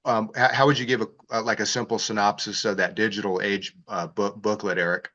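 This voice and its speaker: Low flat-voiced guy hosting a call, lots of um and you know, muffled audio. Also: a little fast. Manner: hesitant, lots of fillers. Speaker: host. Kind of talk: casual interview talk. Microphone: video call.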